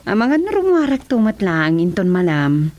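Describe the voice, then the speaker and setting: A woman's voice: fairly low pitch, very animated, normal speed, a little mellow. A woman acting the madam of a household in an Ilocano radio drama. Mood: matter-of-fact. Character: madam of the house, addressing her helper.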